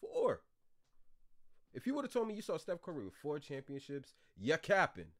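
A man's voice: fairly high pitch, huge pitch swings, normal speed. A young man chats through a reaction video from his gaming chair at home. His voice swings widely up and down as he talks in loose slang. He laughs along at the clips he watches. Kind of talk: casual reaction commentary.

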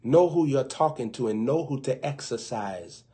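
A young man preaching on a livestream in front of an open Bible, playfully acting out skeptics and cracking quick asides. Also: mid-range voice, lively intonation, dark tone. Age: young adult. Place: indoors before a gold bokeh backdrop with an open Bible. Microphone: webcam close up.

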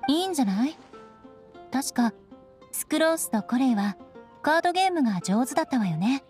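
A woman's voice: sits high, expressive, brisk, a little mellow, faint music behind. A woman voicing a poised, graceful young noblewoman knight in a Japanese anime game. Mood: poised, friendly.

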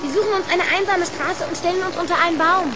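A woman's voice: very high voice, fast talker, very noisy. A woman playing a plucky, curious young boy in a German children's radio play, candid and full of questions. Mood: worried, indignant.

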